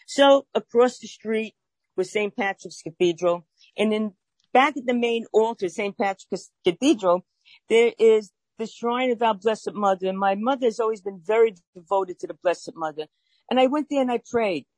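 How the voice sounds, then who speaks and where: A woman's voice: fairly high pitch, normal speed, darkish tone, dry recording, rough recording. A woman in her sixties with a Brooklyn accent, telling her life story as an interview guest, earnest and plainspoken with some fillers. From Brooklyn, New York.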